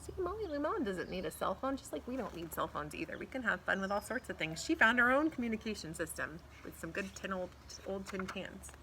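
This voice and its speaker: A woman's voice: medium pitch, big pitch swings, a little fast, few pauses, sharp tone. A middle-aged American woman reading a children's picture book aloud outdoors, expressively drawing out words and adding asides for young listeners. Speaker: teacher reading aloud to pupils. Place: outdoors in a backyard patio. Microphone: camera a few feet away. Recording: faint outdoor background noise.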